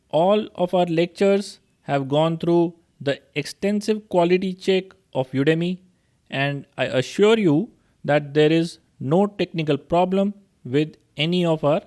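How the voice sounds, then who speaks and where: A young man with an Indian accent, narrating an online course tutorial and speaking straight to students in a steady explanatory way. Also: on the high side. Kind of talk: screen-recorded tutorial narration.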